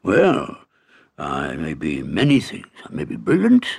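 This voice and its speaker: A man's voice: very deep voice, wildly swooping pitch, measured pace, creaky, a little mellow, husky, exaggerated voice. A man playing a pompous old bug professor. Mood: indignant. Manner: pompous, stammering, indignant. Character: excitable old male professor, tiny insect. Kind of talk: audiobook character reading.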